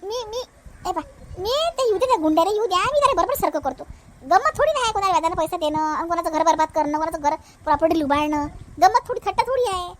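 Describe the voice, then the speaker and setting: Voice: very high voice, lively intonation, quick pace. A cartoon voice playing an emphatic, quarrelsome village housewife in a Varhadi Marathi accent from Vidarbha, repeating words in comedy dialogue. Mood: defiant. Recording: noticeable background noise.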